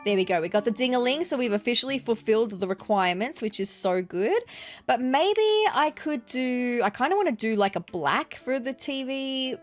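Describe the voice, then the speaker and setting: An Australian woman with a fairly high, nasal voice talks her way through a building game in a bubbly, chatty way. She thinks aloud, counts pieces and reacts as she goes. Light game music sits quietly behind her. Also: animated, normal speed. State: nasal from seasonal allergies. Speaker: let's play gaming host. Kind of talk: casual gameplay commentary.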